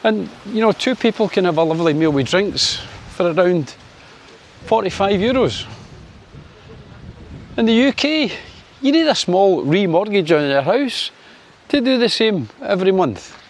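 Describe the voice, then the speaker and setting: A man's voice: animated, unhurried. A Scottish man in his sixties from Scotland, chatting to camera on his vlog in a wry, filler-heavy way. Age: 60s. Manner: chatty, wry, lots of fillers, lifts his pitch for sunny Spain. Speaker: vlogger. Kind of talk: casual opinion vlog to camera.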